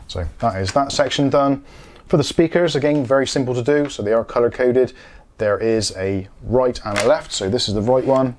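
A man's voice: mid-range voice, darkish tone. A man in his forties explaining a product in a matter-of-fact way, in a casual hands-on review. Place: small home studio room.

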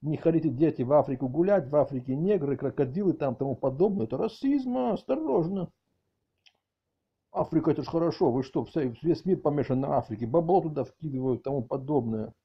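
A middle-aged man with a husky voice doing a mock-outraged comic rant, halting and repeating words as he exclaims. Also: on the high side, lively intonation. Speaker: video channel host. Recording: dull lo-fi audio, faint music behind.

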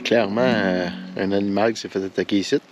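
A man with a Quebec French accent chatting casually to the camera as a hiking vlogger, in a relaxed, colloquial and slightly halting way. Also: on the low side, over music. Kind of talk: casual vlog chat to camera. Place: outdoors on a forest hiking trail and mountain summit. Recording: outdoor ambient noise behind voice.

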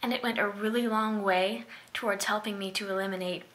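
A young woman delivers a prepared speech in an earnest storytelling way, her voice bright and forward at a middle pitch. She speaks close to a webcam in a small indoor room. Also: steady tone, fairly quick. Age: young adult. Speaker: keynote speaker. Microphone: webcam at close range.

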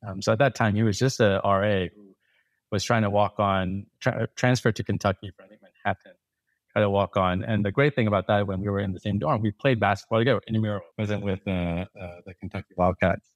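A man's voice: fairly low pitch, fairly even intonation, fairly quick, no room sound. A man in his forties from Thailand, a podcast guest telling long stories in a rambling way, with many restarts and fillers. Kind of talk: casual interview storytelling.

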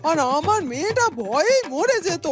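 A woman's voice: very high-pitched, very animated, moderate pace. A woman playing a middle-aged mother in a fairytale cartoon, scolding and threatening in fury. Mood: furious. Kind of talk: Bengali fairytale cartoon acting.

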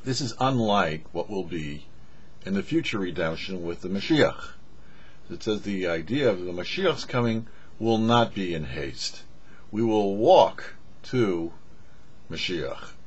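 A middle-aged man giving a scripted religious teaching to camera as a rabbi, deliberate and preacherly with emphatic pauses. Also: low voice, animated, slightly rough. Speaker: rabbi, show host. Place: small indoor studio with cloth backdrop. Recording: dull, muffled lo-fi audio.

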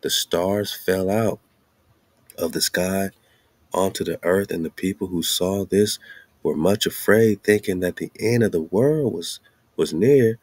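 Deep-voiced American man reading aloud. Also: measured pace, darkish tone, no room sound. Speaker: host. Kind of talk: reading a text aloud with off-the-cuff commentary.

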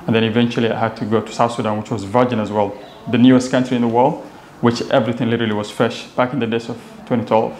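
A man's voice: medium pitch, flat delivery, quick pace, a little mellow. A young man from Somalia with a Somali accent, a native Somali speaker, telling his own story casually as an interview guest. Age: young adult. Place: indoor office lounge with glass walls. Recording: echoey room, faint background noise.

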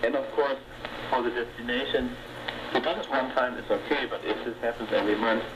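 A man giving measured, hesitant explanations in an unscripted broadcast discussion, heard through a shortwave radio speaker. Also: mid-range voice, steady tone, noisy background. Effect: played through a shortwave radio speaker. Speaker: radio commentator on tourism.

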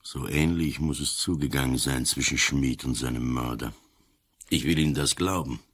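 An older man playing a gruff, cunning old police commissioner in a radio drama, slow and deliberate, weighing each word. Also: fairly bright tone. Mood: measured. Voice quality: husky, very deep.

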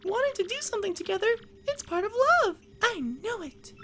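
A woman's voice: very high voice, big pitch swings, fairly quick, sharp tone, a little hiss or hum, full character voice. A woman voicing a polite, bewildered young princess in a video game fan dub, dainty and earnest.

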